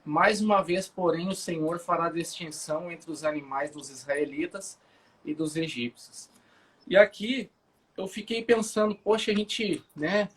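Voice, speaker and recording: A man, hosting a morning Bible live stream, relaxed and chatty, often restarting sentences. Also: high-pitched. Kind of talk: casual chat, prayer and Bible commentary. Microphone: phone or webcam for a live stream.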